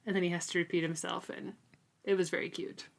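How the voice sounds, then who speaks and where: A woman's voice: lowish voice, level tone, fast, creaky. A woman co-hosting a podcast, talking through a book casually and chattily, peppering her talk with "like". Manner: chatty, frequent "like" and "super". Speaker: podcast co-host.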